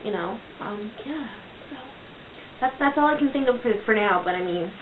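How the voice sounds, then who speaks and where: A young woman playing a cocky high school science whiz in an amateur comic skit, earnest, boastful and rambling. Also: lively intonation, normal speed, slight background noise, close to an everyday voice. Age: young adult. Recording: echoey, phone-like sound amid crowd chatter.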